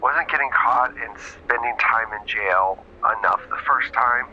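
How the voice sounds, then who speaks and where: A man's voice: mid-range voice, measured pace, bright, forceful tone. A man voicing a hurt, reproachful girlfriend who pleads and scolds in an improvised tabletop roleplay. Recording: muffled, noisy phone-like sound.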